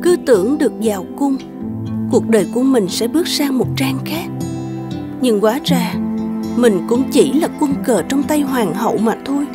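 A gentle, modest young fairy-tale maiden, voiced by a woman. She speaks fairly high, with demure, humble politeness. Music is clearly heard behind her. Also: animated, moderate pace, lightly acted. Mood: sorrowful. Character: gentle, modest, sorrowful young beauty, former courtesan. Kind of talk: animated fairy-tale film dubbing.

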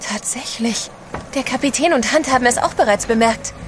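An apprehensive, tender lady adventurer in an audio drama, played by a woman. Her voice is on the high side, amid noisy ship ambience. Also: normal speed, lightly acted. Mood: concerned. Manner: apprehensive, gentle. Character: anxious, tender female companion on expedition.

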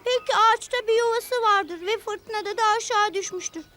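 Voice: very high voice, brisk, sharp tone. An actor voicing a practical, skeptical young goatherd boy in a cartoon dub, with a childlike, matter-of-fact, teasing manner. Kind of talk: animated cartoon dubbing.

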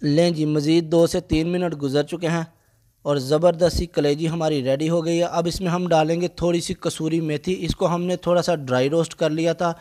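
A man's voice: fairly high pitch, flat delivery, normal speed, darkish tone. A man with a Pakistani accent, narrating a recipe as the host of a cooking channel.

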